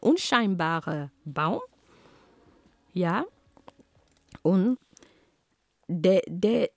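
A woman from Cameroon with a Cameroonian accent, giving a slow, deliberate prepared talk with frequent fillers and self-corrections. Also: fairly low pitch, darkish tone, no room sound, clean studio sound. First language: Homala. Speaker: speaker on peace and culture.

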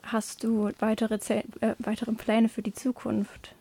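A woman's voice: fairly high pitch, monotone, measured pace, gravelly, warm tone, breathy edge. A woman working as an interviewer, asking questions in a conversational style.

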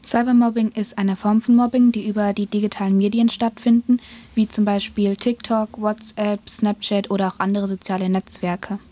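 A woman's voice: mid-range voice, steady tone, moderate pace, dark tone. An eighteen-year-old woman, a student panelist, reading a prepared answer earnestly and stumbling slightly. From Germany.

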